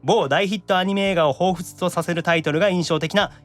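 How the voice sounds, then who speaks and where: A man's voice: high-pitched, fast talker, faint music behind. A man with a Kansai accent hosting a casual radio show in a chatty manner. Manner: chatty, slips into dialect. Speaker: radio show host, voice actor.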